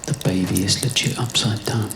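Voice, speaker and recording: A man's voice: fairly low pitch, fairly even intonation, normal speed, background noise. A man performing a spoken-word monologue in a musing, conversational way, repeating his phrases. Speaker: performance artist, writer performing own piece. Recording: live club performance with music behind. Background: prominent music.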